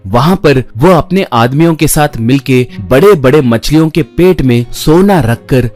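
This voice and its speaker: A man with a medium-pitched voice narrates a scripted children's tale. Music plays behind his storytelling. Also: animated, measured pace, darkish tone.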